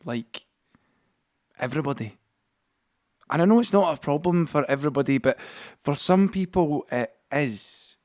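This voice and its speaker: A man's voice: mid-range voice, a little mellow, natural voice. An actor playing a young Scottish man who tells a story in a confiding, colloquial way, as a dramatic monologue in an audio play. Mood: sombre, reflective. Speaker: actor-narrator. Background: music score.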